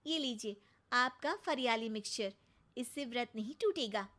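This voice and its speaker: High, bright young daughter-in-law. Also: female voice, expressive, moderate pace. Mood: pleading. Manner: polite, pleading, exasperated. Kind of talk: cartoon voice acting.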